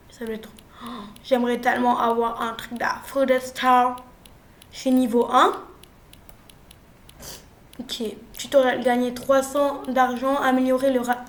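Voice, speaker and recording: Young woman with a cold, high voice, unhurried goofy game chatter, echoey room. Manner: chatty, playful, swings from loud exclamations to mumbles. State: has a cold. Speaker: gaming youtuber. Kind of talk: casual gameplay commentary.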